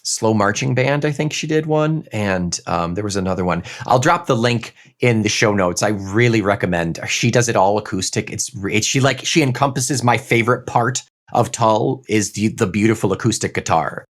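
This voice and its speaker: A man co-hosting a casual podcast, relaxed and agreeable, chatting with his co-host. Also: on the low side, normal speed, hardly any pauses. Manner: relaxed, agreeable, lots of yeahs. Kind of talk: casual chat, reading a listener email aloud.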